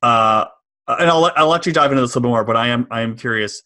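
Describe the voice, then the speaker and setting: A man's voice: mid-range voice, fast talker, bright, forceful tone. A man in his forties with a Washington DC area American accent, hosting a casual podcast interview from a home office, agreeable with many short yeses. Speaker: podcast host. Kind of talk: casual podcast interview over video call.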